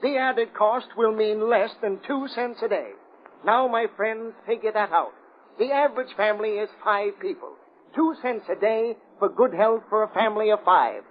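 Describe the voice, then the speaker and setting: A man's voice: high voice, normal speed, a little hiss or hum, natural voice. A man acting a smug, sneering crooked official who orates pompously in an old radio drama. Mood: oratorical. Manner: declamatory, pompous. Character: smug, sneering crooked city official and rabble-rousing orator. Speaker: actor. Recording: tinny, narrow-band old radio recording.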